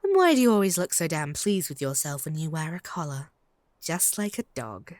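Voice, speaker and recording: A woman's voice: deep voice, sing-song, moderate pace, darkish tone, no room sound. A woman playing a possessive, haughty female vampire in a comedic roleplay audio drama, taunting and doting in a theatrical way. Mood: mocking.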